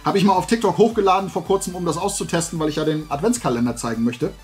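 A man's voice: high-pitched, rapid-fire, darkish tone, faint music behind. A middle-aged man hosting a show, chatting casually and colloquially to camera in a rambling way. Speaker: host of a toy and comics show. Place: indoor room lined with collectibles.

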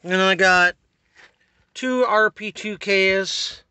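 Slow-talking man, higher voice, phone video. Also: bright, forceful tone, clear, resonant tone, no room sound. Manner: self-deprecating, coarse casual talk. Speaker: car audio hobbyist showing his system. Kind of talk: casual informal vlog walkthrough. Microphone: handheld phone. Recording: noisy phone recording around vehicle.

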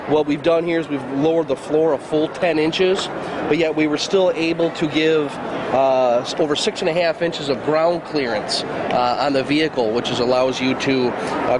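A man representing a vehicle maker, explaining a product at a trade show in steady sales talk with frequent fillers. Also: medium pitch. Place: large indoor expo hall. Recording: loud expo hall noise behind.

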